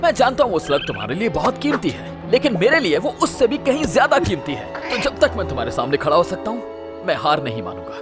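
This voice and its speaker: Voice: medium pitch, quick pace, music in the background, lightly acted. An actor voicing a plucky, talkative young boy hero in a cartoon dub, cheeky and defiant. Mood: determined. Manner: animated, chatty, defiant.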